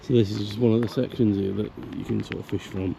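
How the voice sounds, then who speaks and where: A man narrating a casual walk around a park fishing lake, croaky from illness, chatty and grumbling about the council. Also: fairly low pitch, fairly even intonation, fast talker, crowd noise. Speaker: angler vlogger. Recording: outdoor camera audio with faint background noise.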